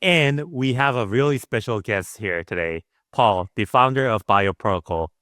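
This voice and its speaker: A man's voice: on the low side, animated, normal speed, pushed and bright, dry recording, clean studio sound. A man hosting a podcast, welcoming listeners and a guest in an upbeat, friendly way. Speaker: podcast host. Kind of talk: podcast introduction.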